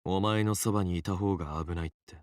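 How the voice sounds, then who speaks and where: A man's voice: low-pitched, fairly even intonation, very fast, a little hiss or hum, close to an everyday voice. An actor playing a blunt, distrustful younger man in a Japanese visual novel, cool and accusatory. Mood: accusing.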